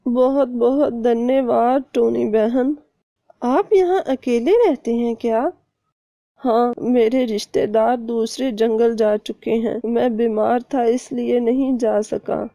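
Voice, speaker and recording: Woman as a humble, very soft-toned male mouse. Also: on the high side, takes it slowly, no echo, dull audio. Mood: grateful. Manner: polite, deferential. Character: humble, grateful male mouse, mild and polite.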